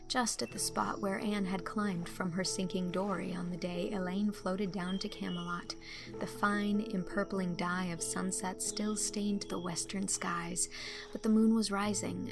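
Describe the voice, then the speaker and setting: A woman narrating a literary audiobook with expressive, storyteller phrasing. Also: medium pitch, vocal fry.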